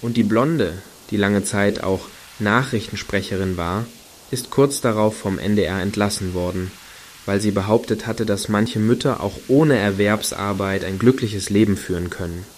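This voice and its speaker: A man's voice: on the low side, moderate pace, a little hiss or hum, lightly acted. An actor playing a wry, rambling male storyteller in a radio satire, recounting conversationally with hesitations. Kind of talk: conversational first-person monologue.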